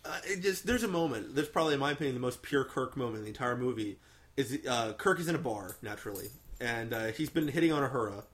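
A man's voice: medium pitch, expressive, fast talker, a bit bright. A man hosting a podcast, chatting casually and enthusiastically with many fillers and restarts. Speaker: podcast host. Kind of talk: casual movie review chat.

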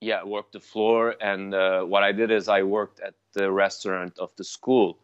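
A man whose first language is Armenian, a sommelier chatting in an interview, halting with many fillers and restarts. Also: lowish voice, flat delivery, clear, resonant tone. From Lebanon. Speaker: sommelier guest. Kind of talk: casual interview chat. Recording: dull, dry lo-fi sound.

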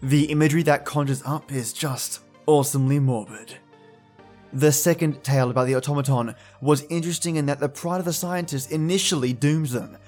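A man's voice: medium pitch, a little mellow. A man hosting a podcast, giving friendly, chatty commentary to his listeners. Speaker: podcast host.

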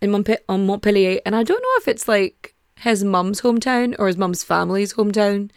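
Young woman, lively sweary true-crime narrator, higher voice, dry close sound. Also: a little fast, clear, resonant tone, dry recording. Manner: animated, sweary, acts out quotes in mock voices. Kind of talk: casual storytelling.

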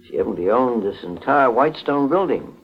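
An actor playing a calm, firm middle-aged private investigator who questions formally and politely in a golden age radio mystery. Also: male voice, medium pitch, brisk, lightly acted. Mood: brisk, commanding. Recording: muffled vintage 1940s radio recording.

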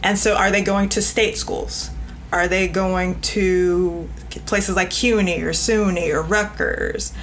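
A woman's voice: fairly low pitch, steady tone, takes it slowly, a bit bright. Emphatic woman in a noisy room. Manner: emphatic, voices imagined replies as quotes. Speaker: podcast guest, literary editor. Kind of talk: panel interview talk.

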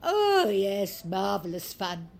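A woman's voice: mid-range voice, very animated, slow pace, vocal fry, exaggerated voice. An actress playing a mischievous, imperious old British grandmother in an audio drama, blunt and sardonic. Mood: gleeful. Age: older.

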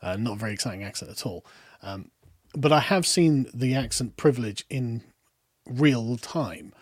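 A British man with a lower middle class accent, a guest talking in a casual political discussion, rambling with restarts and trailing off quietly. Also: lively intonation, normal speed, no room sound, studio quality. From Britain.

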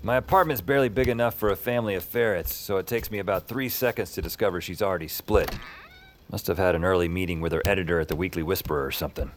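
A man's voice: sits low, quick pace, lightly acted. An actor playing an anxious night-shift dispatcher, narrating his misadventures in dry, clipped sentences. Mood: wry, frazzled. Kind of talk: first-person noir-comic audio drama narration. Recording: music under the voice.

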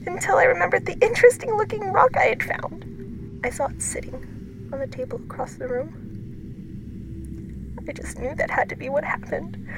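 An actress playing a shaken woman telling a harrowing personal story as a dramatic, halting monologue. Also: very high voice, vocal fry, exaggerated voice. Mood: rising panic. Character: shaken woman recounting losing all her hair at a hotel. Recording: noisy recording with ambient sound.

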